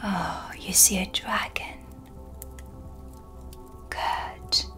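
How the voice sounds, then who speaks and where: A woman playing a calm, mysterious dark witch with dry, teasing menace, in a hushed, close-miked ASMR roleplay. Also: mid-range voice, soft background music. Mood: mysterious, guiding. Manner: slow, deliberate, hushed, dryly teasing. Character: dark witch, female, mysterious, sardonic, calm teacher of shadow magic. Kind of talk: soft-spoken whispered ASMR roleplay. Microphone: close studio mic for ASMR.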